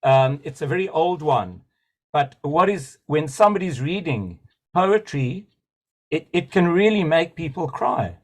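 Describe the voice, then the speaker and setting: Male participant, higher-pitched, eager and digressive, webinar call. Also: animated, a little slow. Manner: enthusiastic, rambling, digressive. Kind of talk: spontaneous comment in a webinar. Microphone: video call.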